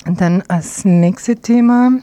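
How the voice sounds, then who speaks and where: A woman radio presenter announcing programme items in a hesitant, halting way, searching for words. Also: medium pitch, mellow, no echo. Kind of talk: loosely scripted programme announcement.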